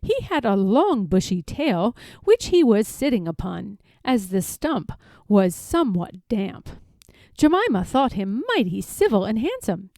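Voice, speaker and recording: A woman narrating a children's story from a script, expressive and careful in her phrasing. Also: a little high, dry recording. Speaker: storybook reader.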